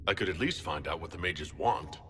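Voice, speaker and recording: Very deep, blunt fantasy hero. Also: male voice, expressive, a little fast, a bit bright, slight background noise. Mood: determined. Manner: terse, blunt. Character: stern, blunt male hero of a fantasy game. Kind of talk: video game voice acting. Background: music.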